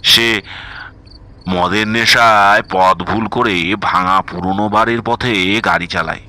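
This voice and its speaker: A man's voice: lowish voice, fairly even intonation, slow, bright, forceful tone. A man narrating a scripted horror story as its storyteller.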